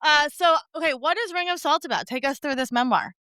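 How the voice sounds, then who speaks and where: Bright, higher-pitched woman podcast host. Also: animated, a little fast, dry recording, studio quality. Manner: effusive, informal. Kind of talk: conversational interviewing.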